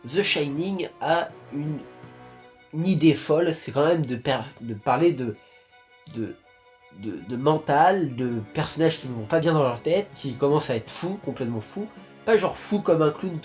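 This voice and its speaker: Young French YouTuber, loose rambling delivery, slightly raised pitch, soft music underneath. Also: male voice. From France. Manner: rambling, many restarts and fillers. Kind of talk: casual vlog talk.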